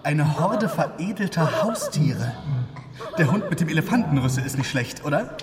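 A man's voice: fairly high pitch, expressive, normal speed, long reverb tail, over loud music, natural voice. A man playing a boastful, doting father in a children's radio play, with a breezy, showy manner. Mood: amused, mocking. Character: boastful, doting father, newly arrived on space ark.

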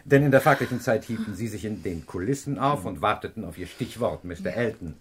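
An actor playing a pompous, self-assured professor detective in a radio crime play, lecturing pedantically with theatrical flourish. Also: male voice, on the low side, lively intonation, a little fast, fairly bright tone, husky, full character voice. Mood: pointed.

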